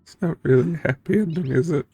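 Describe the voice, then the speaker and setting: A streamer talking to viewers in an unscripted way, tearful, sniffling and choked up from crying, halting and at a loss for words. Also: mid-range voice, mellow, husky, no echo, soft background music. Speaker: streamer, game playthrough host. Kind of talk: emotional unscripted chat to viewers after finishing a game.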